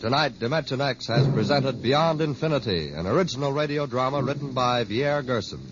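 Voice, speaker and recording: A man's voice: medium pitch, lively intonation, brisk. A man announcing from a script in 1950s radio style. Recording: muffled, noisy old radio recording.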